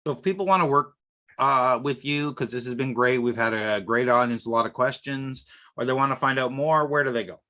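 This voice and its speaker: A man's voice: mid-range voice, a little fast, sharp tone. A man hosting a webinar, asking polite, upbeat questions and thanking the audience. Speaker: webinar host. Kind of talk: moderating a webinar Q&A. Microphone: video call.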